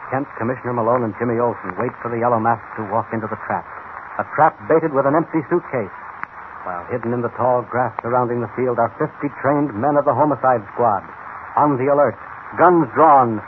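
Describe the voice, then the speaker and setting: A man's voice: medium pitch, a little fast, natural voice. Tinny old-time radio actor as male narrator. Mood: tense, urgent. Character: dramatic, urgent male serial narrator. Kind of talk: 1940s radio scripted narration. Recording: tinny, noisy vintage radio recording.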